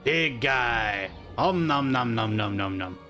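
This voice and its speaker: A man's voice: mid-range voice, animated, slow, sharp tone. A man giving casual, playful, joking reaction commentary as he streams a video game. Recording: game music behind. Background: game music.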